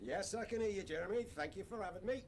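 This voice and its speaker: An actor playing a bluff, swaggering prime minister, who uses chummy slang. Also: male voice, high-pitched, fast, slight background noise.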